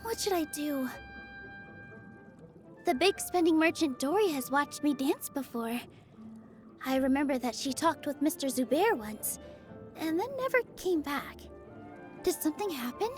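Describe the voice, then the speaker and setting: An actress voicing a gentle, cheerful young woman dancer in a video game, speaking in a sweet, earnest, easily flustered manner. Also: high voice, animated, a little slow, pauses often, soft background music. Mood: cheerful, musing. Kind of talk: video game character voice lines.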